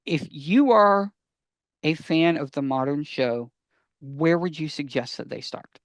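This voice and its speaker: A man's voice: fairly high pitch, a little slow, no echo, clean studio sound. A man co-hosting a casual fan discussion, speaking conversationally and addressing listeners directly. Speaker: podcast co-host.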